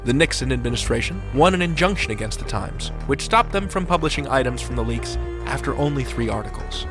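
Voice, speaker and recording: A man hosting a podcast, giving a scripted introduction with steady, measured presenting. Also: mid-range voice. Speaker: podcast host. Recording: voice over music.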